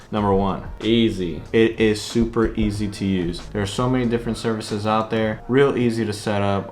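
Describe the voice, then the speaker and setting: A man hosting a casual vlog, explaining things informally and stressing his key points. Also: fairly low pitch. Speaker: vlog host, real estate investor. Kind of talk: casual vlog talk to camera.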